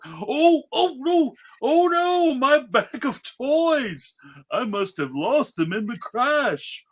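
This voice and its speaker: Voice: mid-range voice, slightly rough, heavily acted. A performer at a live comedic table read playing a fretful, melodramatic old Santa Claus. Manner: melodramatic, fretting. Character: stranded old Santa, fretful and jolly-natured.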